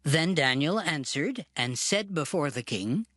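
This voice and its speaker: A man's voice: fairly high pitch, lively intonation, measured pace, noisy background. A man narrating a dramatized scripture reading in formal, storytelling archaic English. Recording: music clearly under the voice.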